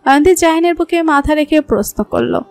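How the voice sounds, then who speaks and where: A woman narrates a scripted romantic story in a Bangladeshi accent. Her voice is distinctly high, and quiet music sits underneath the reading. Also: lively intonation, a little fast, creaky.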